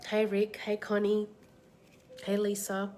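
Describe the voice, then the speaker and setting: A woman with a slight cold and a tickly throat, hosting a live card reading and giving soft, reassuring advice to viewers by name. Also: medium pitch, completely flat delivery, slow pace, faint music behind. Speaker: card reader and meditation host. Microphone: live-stream setup.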